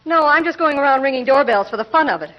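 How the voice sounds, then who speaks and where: A high-voiced officious mail carrier. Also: female voice, expressive, fast talker. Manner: formal, businesslike. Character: officious, dryly sarcastic female mail carrier. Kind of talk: 1940s radio comedy acting. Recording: muffled vintage radio broadcast, faint noise.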